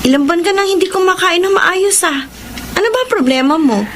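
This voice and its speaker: A woman's voice: extremely high pitch, a little fast, a bit bright, slight background noise. A woman playing a concerned, caring wife in a radio drama. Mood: worried.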